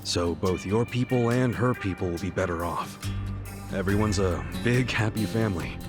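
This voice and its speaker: A man's voice: on the low side, moderate pace, close to an everyday voice. A man voicing an easygoing young hero who talks in a casual, joking way. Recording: game audio with music behind.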